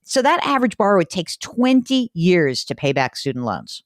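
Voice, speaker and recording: A woman's voice: on the low side, sing-song, moderate pace, no room sound, studio quality. A woman hosting a personal finance show, talking in a chatty, emphatic way. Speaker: money show host. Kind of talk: conversational radio podcast talk.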